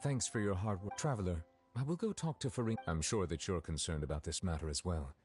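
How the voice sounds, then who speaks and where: Courteous grave official, deep voice, deliberate, formal, reserved, video game dialogue, light music. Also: male voice, animated, a little mellow. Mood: resolute. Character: grave, courteous, dignified male judge of measured temper.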